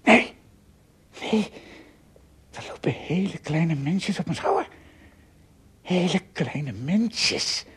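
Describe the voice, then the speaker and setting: An actor playing an English castaway adventurer in a radio drama, an earnest, fearful storyteller with a theatrical, halting delivery that moves between hushes and exclamations. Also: male voice, sits high, breathy edge, exaggerated voice. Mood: astonished.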